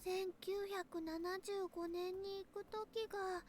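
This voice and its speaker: Very high, childlike anime girl. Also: level tone, darkish tone, a little hiss or hum. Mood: earnest, caring. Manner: childlike, drawn-out sentence endings. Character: sweet, airheaded, childlike teenage anime girl. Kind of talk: Japanese visual novel voice acting.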